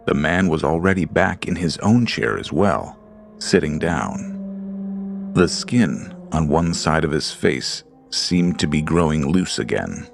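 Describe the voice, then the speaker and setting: A man reading a horror story aloud in slow, deliberate, scripted narration. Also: very deep voice, gravelly. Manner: slow, deliberate, ominous delivery.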